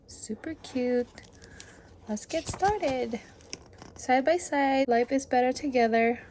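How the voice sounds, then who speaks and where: A woman's voice: high voice, takes it slowly, a little mellow, slight background noise. A woman reading a picture book aloud to children as a storytime host, talking to them directly in a sing-song way.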